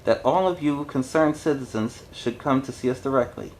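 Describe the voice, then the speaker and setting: A man voicing a pompous storybook mayor, a grandiose politician smoothly placating people. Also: mid-range voice, normal speed, darkish tone, slight background noise, lightly acted, poor audio. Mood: falsely gracious. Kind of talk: storybook character voice.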